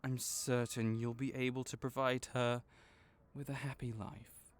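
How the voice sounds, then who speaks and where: A man playing a kind, patient veterinarian for magical creatures in a comforting audio roleplay, explaining things gently and encouragingly. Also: medium pitch, level tone, unhurried, natural voice. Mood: warm encouragement. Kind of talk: comforting audio roleplay, one-sided conversation.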